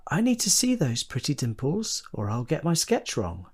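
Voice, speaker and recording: An actor playing an ardent, gentle young man in a period audio drama, speaking earnestly and tenderly. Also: a little high, wildly swooping pitch, moderate pace, husky, exaggerated voice. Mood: adoring. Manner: earnest, tender, old-fashioned phrasing. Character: ardent, romantic young male sculptor, gentle suitor.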